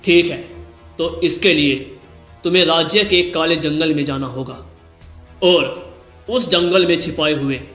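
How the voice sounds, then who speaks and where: A man playing an elderly, fatherly king in a cartoon story, speaking in a measured, formal and grave manner. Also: a little high, a bit bright, reverberant, faint music behind. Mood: resolved. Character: old male king, fatherly, deliberate and grave.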